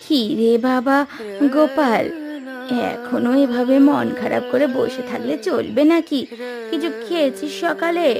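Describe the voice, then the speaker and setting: A woman's voice: high-pitched, unhurried, gravelly, over music. A woman voicing a practical, meddling village aunt in a cartoon. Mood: coaxing. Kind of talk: cartoon voice acting.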